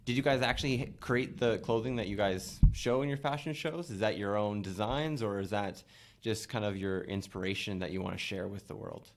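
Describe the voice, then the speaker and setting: A man hosting a casual podcast interview, relaxed and easygoing, with many fillers. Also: on the low side, fairly quick, slight background noise. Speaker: podcast host. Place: coffee shop.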